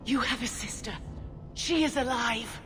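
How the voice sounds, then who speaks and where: A woman's voice: fairly high pitch, a little slow, close to an everyday voice. A woman playing a haughty captive mage. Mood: scheming. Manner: cool, bargaining, haughty. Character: cold scheming female mage captor. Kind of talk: video game voice acting. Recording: game audio with reverb and music.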